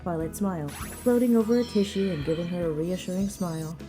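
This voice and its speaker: A woman's voice: fairly low pitch, brisk, over music. A woman reading a story aloud as narrator in a scripted narration style. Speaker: narrator, fan fiction reader.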